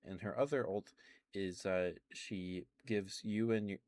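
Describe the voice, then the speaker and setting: Low-voiced guy doing soft asmr game rambling, lots of ums and little laughs. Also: unhurried, dry recording. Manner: hesitant, many ums and restarts, soft laughs. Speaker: ASMR streamer.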